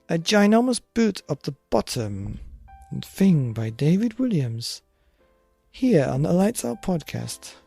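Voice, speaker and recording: Guy reading a kids bedtime story, slow and theatrical, creaky edge to his voice. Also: a little high, a little mellow. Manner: theatrical, deliberate. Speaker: podcast narrator. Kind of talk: expressive children's bedtime story narration.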